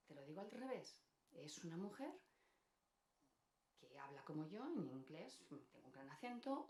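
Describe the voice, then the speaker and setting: Woman w on a panel, really low voice, emphatic, echoey room. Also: gravelly, darkish tone. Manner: emphatic, repeats words for stress. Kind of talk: panel discussion, speaking off the cuff. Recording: roomy echo, faint background noise.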